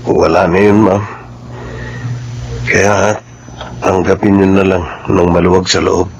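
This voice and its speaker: An actor playing a loyal, gentle driver in a melodrama, speaking in a deferential, earnest and careful way. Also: male voice, deep voice, flat delivery, takes it slowly, vocal fry, exaggerated voice. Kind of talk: Filipino melodrama dialogue. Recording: dull, noisy recording.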